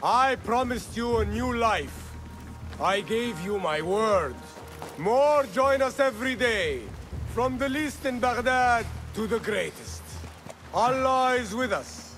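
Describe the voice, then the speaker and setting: An actor playing a fervent rebel leader rallying freed slaves in a dramatic video game. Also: male voice, very high voice, animated, slow, fairly bright tone, soft background music, exaggerated voice. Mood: fervent, defiant.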